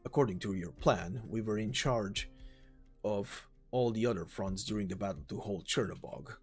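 A man voicing a stern, weary mercenary warrior who cautions gravely in an amateur reading of a game story. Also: lowish voice, normal speed, faint music behind. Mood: probing, serious.

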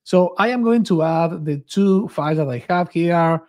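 Higher-pitched man presenting a coding tutorial. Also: moderate pace, darkish tone. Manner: enthusiastic, informal. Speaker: software developer advocate, presenter. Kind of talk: screen-share coding tutorial, casual narration. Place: small home office indoors.

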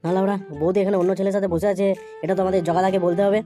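Brash young male buddy for a cartoon, woman performer: mid-range pitch, breakneck pace, crude and exclamatory. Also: level tone, very fast, warm tone, faint music behind, lightly acted. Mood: shocked, urgent. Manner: sweary, exclamatory, mocking. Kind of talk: amateur comedy cartoon dubbing.

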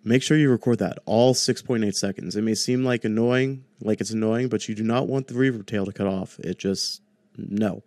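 A man's voice: on the low side, steady tone, normal speed, a little mellow, no echo. A man in his twenties hosting a casual audio tutorial, explaining things in a chatty, informal way into a close desk microphone. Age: 20s. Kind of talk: casual screen-recorded tutorial. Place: small home room, indoors. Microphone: close desk microphone on boom arm.

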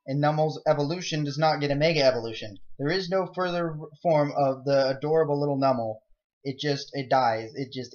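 A young man talks through his game in a loose, rambling way, cracking jokes and breaking into excited outbursts. His voice sits a little high for a man. He is recorded on a dull, lo-fi home mic. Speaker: let's play gamer. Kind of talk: casual game commentary.

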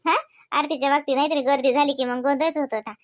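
A woman's voice: high-pitched, steady tone, fast talker, dry recording, studio quality, close to an everyday voice. A woman voicing a devout, caring, bossy village aunt in a comedy cartoon, with a homely Varhadi Marathi accent. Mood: chatty. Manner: chatty, homely, instructing.

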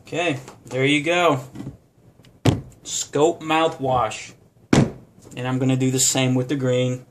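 A man's voice: mid-range voice, flat delivery, takes it slowly, pauses often, very noisy. A man giving a casual how-to demonstration at a home bar counter, talking unhurriedly with many fillers. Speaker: vlogger.

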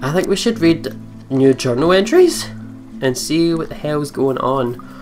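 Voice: mid-range voice, expressive, a little slow, darkish tone, faint music behind. Casual let's play host, mid-range voice. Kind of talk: casual chat, game commentary.

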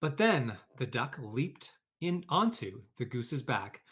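A man's voice: mid-range voice, big pitch swings, slow, a bit bright, breathy edge. A man hosting a read-aloud for children, talking informally and explaining things, or reading a storybook. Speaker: educator, read-aloud host.

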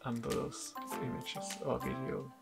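A man in his thirties, a sailing vlogger, explaining a repair to camera in a casual, halting way with many fillers, speaking in a wooden boat cabin. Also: medium pitch, flat delivery, creaky. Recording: music playing behind.

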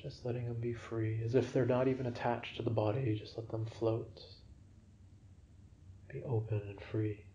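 A man guiding a yoga nidra relaxation for sleep in a gentle, unhurried, soothing manner. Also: medium pitch, level tone, lo-fi sound. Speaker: meditation guide.

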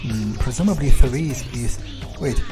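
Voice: mid-range voice, normal speed. Casual, muttering roleplay gamer over music. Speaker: gameplay streamer playing in character. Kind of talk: in-character roleplay commentary. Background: game music.

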